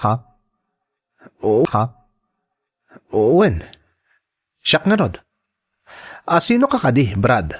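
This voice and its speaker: An earnest, lovestruck man played by an actor in an Ilocano radio drama. His voice is mid-pitched and husky, with an Ilocano Filipino accent, as he opens his heart. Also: wildly swooping pitch, slow pace, long pauses, heavily acted. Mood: puzzled, alarmed.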